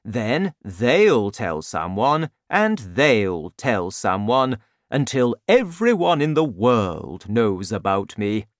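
A man voicing a huge, gentle giant in a storybook reading, speaking slowly and loudly. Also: very animated, no echo, clean studio sound. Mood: booming. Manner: slow, booming, deliberate.

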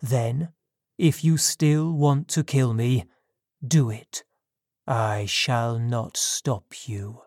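A narrator voicing a lonely, wronged male monster in an audiobook story, pleading yet menacing, with slow, deliberate delivery. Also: medium pitch, darkish tone, no room sound, clean studio sound, natural voice. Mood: quiet, pleading. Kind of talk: dramatised audiobook dialogue.

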